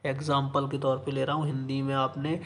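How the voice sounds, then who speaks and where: A man's voice: medium pitch, completely flat delivery, a little fast, faint music behind. A man teaching, reading out an official notice and explaining it, repeating phrases for emphasis and mixing in English terms. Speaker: exam coaching teacher.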